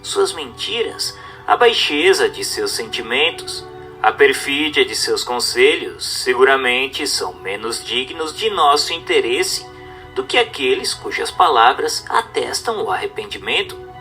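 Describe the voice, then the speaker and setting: A man narrates a formal religious text over background music. His voice is bright, with widely moving intonation. The delivery is solemn and instructive, clearly read from a script. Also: medium pitch, big pitch swings, unhurried.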